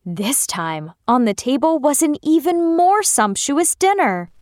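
A woman tells a children's fairy tale in a fairly high voice. She reads slowly and expressively, with lively intonation. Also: very noisy. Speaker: storyteller.

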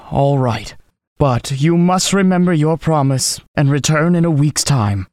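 An actor playing a lonely, gentle beast in love in a children's fairy tale, speaking hesitantly and full of self-doubt. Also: medium pitch, animated, dry recording, clean studio sound. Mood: reluctant. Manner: halting, hesitant, pleading.

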